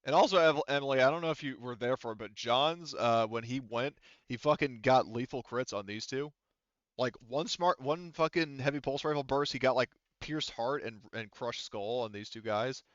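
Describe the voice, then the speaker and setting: Man, low voice, casual sweary game master, dry close sound, slightly muffled recording. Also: moderate pace, fairly bright tone, dry recording. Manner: casual, profane. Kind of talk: running a tabletop role-playing game.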